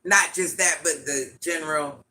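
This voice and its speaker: A man with an itchy, scratchy throat, hosting a livestream in a grandmother persona and chatting through viewer comments with quick laughs and asides. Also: on the high side, pushed and bright. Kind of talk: casual live chat answering viewer comments.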